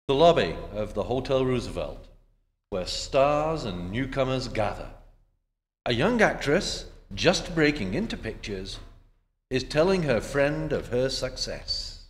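A man playing a measured announcer narrating an old-time Hollywood radio show. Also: medium pitch, sing-song. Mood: measured, presentational. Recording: reverberant stage hall, faint noise.

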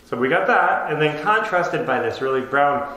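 A man's voice: on the high side, animated, moderate pace, sharp tone. A man in his thirties talking casually to camera, explaining things as a home renovation vlogger. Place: empty bedroom under renovation, indoors. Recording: very echoey empty room.

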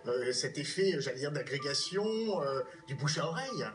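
A man's voice: on the high side, expressive, normal speed, background noise. Male TV co-interviewer, raised register, distant pickup. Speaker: TV show co-interviewer. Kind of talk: live TV interview. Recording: distant TV sound, faint music.

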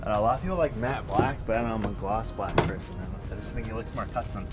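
A man's voice: lowish voice, rapid-fire. A man in his thirties talking casually in a laid-back, slangy way, like a shop vlog host. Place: in and around an auto shop and yard. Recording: music bed behind the voice.

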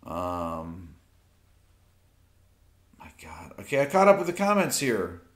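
A man in his fifties hosting a casual late-night stream from his home music studio, reading viewer questions off his phone in a relaxed, rambling way with lots of fillers. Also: lowish voice, slow pace. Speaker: YouTube music channel host. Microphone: off-camera mic, seated at desk with phone. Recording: roomy studio echo.

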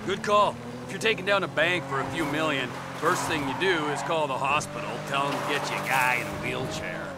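High-voiced wisecracking heist crewman, acted by a man. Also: fairly quick, hardly any pauses, lightly acted. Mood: wry. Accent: American. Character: wry, streetwise male heist crewman. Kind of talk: dramatic video game acting. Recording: loud car and road noise.

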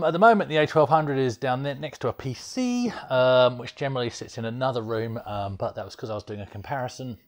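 A man's voice: a little high, darkish tone. A man giving a rambling, casual tour of his computer room. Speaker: viewer video contributor.

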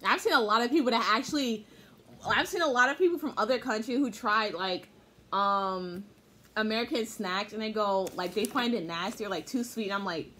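A woman's voice: mid-range voice, brisk, bright, forceful tone. A young woman co-hosting a casual family snack review at home, chatty and rambling. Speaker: co-host. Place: small wood-paneled room indoors.

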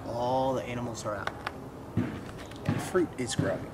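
A young adult man reads a picture book aloud for children in an expressive way, at a medium pitch. He speaks directly to his young listeners between passages. The recording is made outdoors on a camera, with clear background noise. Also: normal speed. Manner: expressive, asks the listener questions. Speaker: storybook reader. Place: outdoors by a wooden fence. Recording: clearly noisy outdoor ambience.

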